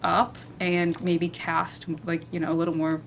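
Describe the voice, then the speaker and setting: A woman's voice: fairly low pitch, monotone, measured pace, gravelly. A woman moderating a casual panel discussion, speaking conversationally with lots of "like" and "you know". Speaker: panel moderator, playwright and director. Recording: noisy room at a live event.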